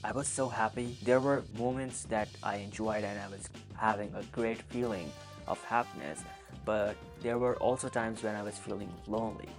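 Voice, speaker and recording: A young man in his twenties, from Pakistan, answering viewer questions in a soft-spoken, earnest vlog. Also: lowish voice, fairly even intonation, moderate pace, over music. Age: 20s. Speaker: vlogger. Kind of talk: casual Q and A monologue.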